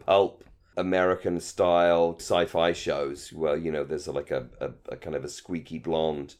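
A man's voice: very deep voice, steady tone, moderate pace, a little mellow. A man chatting casually and informally as a podcast co-host, giving his opinions and often circling back over his phrases. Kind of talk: casual chat.

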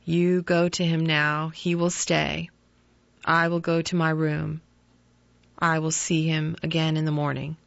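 A woman reading the part of a stern, proud older father who speaks gruffly in a shaken voice. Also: lowish voice, steady tone, unhurried, long pauses, fairly bright tone, no room sound. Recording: dull lo-fi dry recording.

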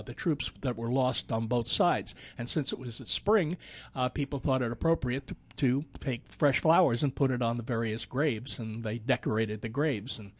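An older man co-hosting a radio show, talking casually and explaining things in a halting way. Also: mid-range voice. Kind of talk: casual studio talk. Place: radio studio.

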